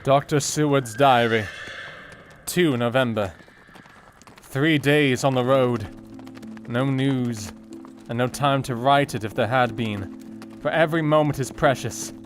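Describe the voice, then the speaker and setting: Man playing determined victorian doctor doing his diary, terse and formal, mid pitch, bit of music under. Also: slow pace, close to an everyday voice. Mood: urgent, resolute. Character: Doctor Seward, determined Victorian doctor on a hard pursuit. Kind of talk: dramatic audio diary reading.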